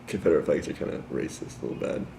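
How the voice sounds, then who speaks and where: A man's voice: rapid-fire, mellow, roomy sound, a little hiss or hum. A young man from rural Virginia answering street interview questions in a laid-back, tentative way.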